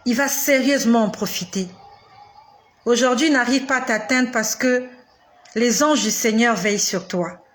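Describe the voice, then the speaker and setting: Woman preacher in her forties, fairly high voice, slow emphatic delivery built on repetition and dramatic pauses. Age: 40s. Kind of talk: sermon addressed to the listener.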